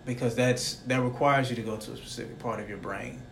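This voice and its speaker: A man's voice: medium pitch, fairly even intonation, brisk, creaky, slight background noise. A young man hosting a casual interview, talking in a rambling, reflective way. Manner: rambling, reflective, soft-spoken.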